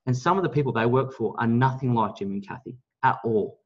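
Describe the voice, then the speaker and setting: The sound is a little dull and lo-fi, like a recorded call. A man with a mid-pitched voice delivers a motivational business pitch. He is emphatic and blunt, selling hard and not shy of a mild curse. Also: fairly quick. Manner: emphatic, blunt, salesy, mildly profane. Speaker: network marketing leader, guest speaker on a team call.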